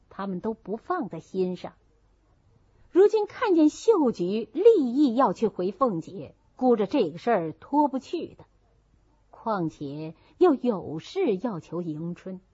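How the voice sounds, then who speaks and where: A woman narrating a novel as an audiobook, in an expressive storytelling style. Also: on the high side, breathy edge, no room sound. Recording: muffled, dull audio.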